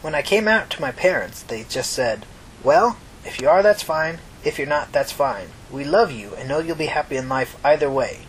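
A man's voice: on the high side, animated, normal speed, a little hiss or hum, close to an everyday voice, poor audio. A man voicing a kindly, talkative old mother from New York in a story reading, folksy, reflective and reassuring. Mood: matter-of-fact. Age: older.

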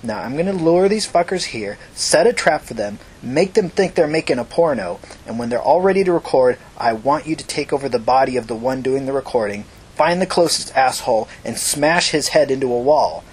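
A man's voice: medium pitch, expressive, fairly quick, hardly any pauses, a little mellow, slightly rough, low-quality recording. A man voicing a vengeful, foul-mouthed young woman who threatens and commands in short emphatic bursts in an audiobook story. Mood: menacing, commanding.

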